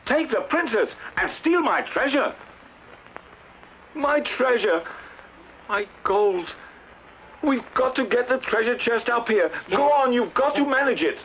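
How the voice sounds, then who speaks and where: Man playing a blustering high-pitched greedy king. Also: expressive, a little slow, long pauses, lo-fi sound, slight background noise, exaggerated voice. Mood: alarmed, greedy. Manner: flustered, pompous. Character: blustering, greedy, evasive old fairy-tale king and father. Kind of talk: theatrical children's fairy-tale acting.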